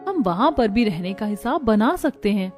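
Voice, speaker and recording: A woman's voice: mid-range voice, animated, moderate pace, darkish tone, faint music behind, lightly acted. A woman voicing a household woman in an acted family cartoon story. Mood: earnest. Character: women of a cartoon family, mother-in-law and daughter-in-law.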